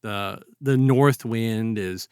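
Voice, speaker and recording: A man hosting a casual, reflective discussion of literature, speaking in a halting, conversational way and animatedly acting out quotes as he retells. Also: fairly low pitch, a little mellow, smooth, dry recording, studio quality. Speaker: podcast host.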